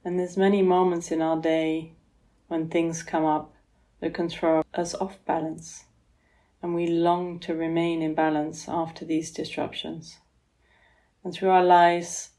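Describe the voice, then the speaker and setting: Slow, mellow woman guiding meditation. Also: lowish voice. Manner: soothing, gentle, unhurried with long pauses. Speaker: yoga therapist guiding a meditation. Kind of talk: guided relaxation meditation.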